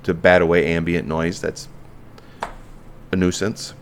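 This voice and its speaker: A man with a very low voice hosts a podcast and chats in a relaxed, frank way, dropping the odd curse. A faint background hiss runs under his voice. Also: measured pace. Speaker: podcast host. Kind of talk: casual interview chat.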